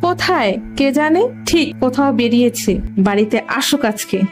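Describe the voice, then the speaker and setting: A woman voicing a poor, fretful, caring village mother in a cartoon, with an expressive, homely, lamenting manner. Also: high voice, normal speed, faint music behind. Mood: fussing. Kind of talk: Bengali cartoon voice acting.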